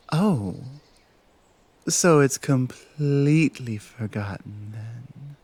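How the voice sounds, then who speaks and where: A man playing a calm, teasing Valkyrie who guides souls in an intimate ASMR roleplay, soft-spoken and coaxing with halting pauses. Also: fairly high pitch, no echo. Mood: puzzled, concerned.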